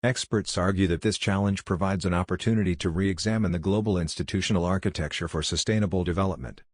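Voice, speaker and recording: A man reading a passage aloud as a sample exam answer, in a steady, even delivery. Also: deep voice, quick pace, no room sound, clean studio sound.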